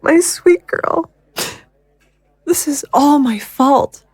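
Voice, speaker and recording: A woman playing a guilt-ridden, worn-down young mother in an audio drama, speaking haltingly and emotionally. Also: high voice, dry recording. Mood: anguished, guilty. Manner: halting, emotional confession with sighs.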